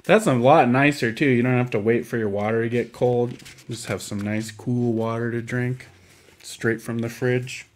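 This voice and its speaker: A man hosting a library storytime, chatting casually and simply to young children in a gentle, encouraging way. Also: medium pitch, fairly even intonation, normal speed.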